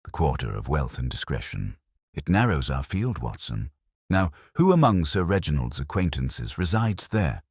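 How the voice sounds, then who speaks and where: Guy doing a calm sharp detective for a mystery audiobook, really deep, musing and deliberate, dry sound. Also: slightly rough, dry recording, exaggerated voice. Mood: probing, thoughtful. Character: calm, incisive male consulting detective, coolly commanding.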